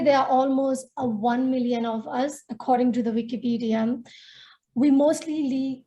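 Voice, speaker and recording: Warm-voiced woman telling her story. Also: a little high. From Myanmar, northern Shan State. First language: Nepali. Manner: earnest, reflective, with small restarts. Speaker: webinar panelist. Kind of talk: personal storytelling talk.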